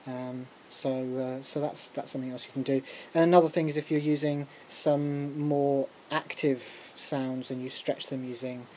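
Informal tutorial lecturer, mid-pitched, halting and self-interrupting, muttering asides, noisy recording. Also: little pitch movement, dark tone. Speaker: music technology lecturer. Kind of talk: informal software tutorial, talking through a demonstration. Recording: clearly noisy recording with room ambience.

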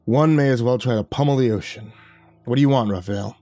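This is a gruff, battle-hardened warrior sunk in grief, put on by a male storyteller. His voice is dark and mellow, and he speaks in a weary, bitter way. It has the feel of a spoken horror story. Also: medium pitch, a little fast, no room sound, faint music behind, voice pushed down, natural voice. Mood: grieving.

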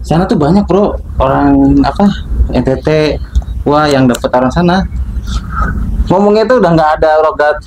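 A man's voice: on the high side, animated, normal speed, pauses often, darkish tone, slight background noise, poor audio. A young man, a barber, chatting and joking while he cuts a customer's hair in a small shop. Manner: chatty, joking, with bursts of laughter. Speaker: barber and show host. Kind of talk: casual chat while cutting hair.

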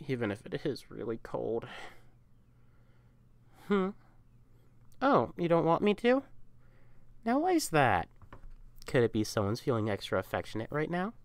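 A woman's voice: rumbling low, no echo, heavily acted. A woman voicing an affectionate, protective young catperson comforting a lover in a roleplay audio, tender, coaxing and emphatic. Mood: playful, affectionate.

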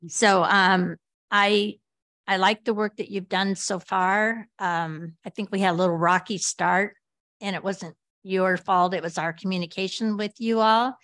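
An American woman speaking as a board director, giving measured remarks in a public meeting. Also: mid-range voice, steady tone, a bit bright, dry recording.

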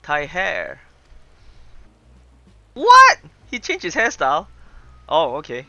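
A man's voice: fairly high pitch, huge pitch swings, takes it slowly, gravelly, pushed and bright, no room sound, highs cut off. A man doing casual livestream game commentary in a playful, exclamatory way, sometimes reading dialogue aloud. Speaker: streamer, virtual avatar host. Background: game music.

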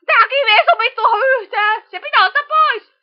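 Woman as high, whiny teenage boy. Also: highs cut off. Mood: demanding. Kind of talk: amateur cartoon fandub.

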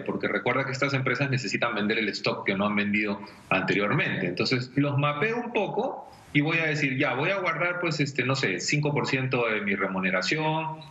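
A man's voice: medium pitch, sharp tone. A man in his forties with a Peruvian accent, giving didactic, conversational money advice as an expert on a video call. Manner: didactic, illustrates with everyday examples. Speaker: personal finance expert on a TV segment. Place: indoor room at home. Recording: dull, echoey video call.

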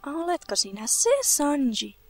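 A woman's voice: high-pitched, lively intonation, a little mellow. A woman playing a coy, self-admiring young glamorous woman in an anime parody dub.

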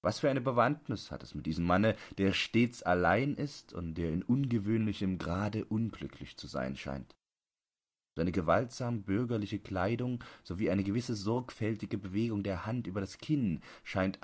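A man with a low-pitched voice narrates an audiobook. He reads scripted literary prose in a measured manner, enunciating each phrase with care.

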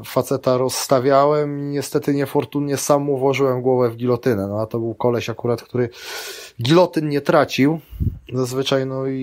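A man's voice: mid-range voice, a little mellow. A middle-aged man, a grappling athlete and coach, talking in a casual interview with many restarts and fillers.

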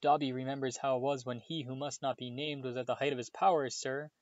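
A man's voice: flat delivery, quick pace, dry recording, lightly acted. A man voicing a small, servile male house elf who pleads tearfully and calls everyone sir. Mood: anguished. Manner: squeaky, pleading, keeps saying sir. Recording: slightly dull dry recording.

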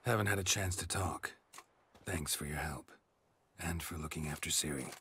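Very deep, gruff monster hunter. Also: male voice, normal speed, sharp tone, a little hiss or hum. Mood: reassuring. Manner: terse, dry, understated. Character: gruff, laconic, protective male monster hunter. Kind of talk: dramatic fantasy game acting.

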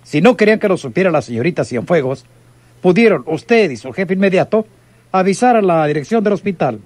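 An actor playing a stern, formal police captain with a Mexican accent, interrogating in a radio drama. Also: male voice, sits high, lively intonation, fairly quick, darkish tone, no room sound. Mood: reproving.

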